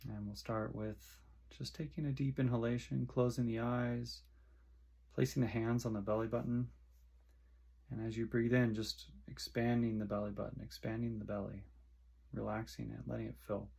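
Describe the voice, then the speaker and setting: A man guiding a meditation in short, soothing phrases with long pauses between them. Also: mid-range voice, level tone, gravelly, darkish tone, breathy edge. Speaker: meditation guide. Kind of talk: guided meditation instruction.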